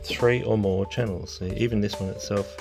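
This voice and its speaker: A very low-voiced man with a creaky, gravelly edge talks casually into a phone around his car. He rambles in an understated way, like a hobbyist thinking aloud as he works. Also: steady tone, moderate pace, music in the background. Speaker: car audio hobbyist vlogger. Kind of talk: casual unscripted vlog commentary. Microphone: handheld phone.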